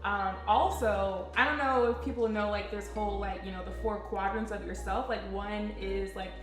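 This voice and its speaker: A woman from Cincinnati, Ohio, a guest in a casual chat, animated and full of "like"s. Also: mid-range voice, moderate pace, roomy sound, music in the background. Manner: animated, explains with many "like"s.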